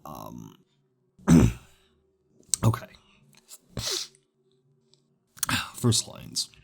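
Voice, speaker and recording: A man's voice: very deep voice, sing-song, drawn-out words, creaky, darkish tone. A man who works as a voice actor, talking casually before his takes in a hesitant, stammering, rambling way. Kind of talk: casual spoken audition slate.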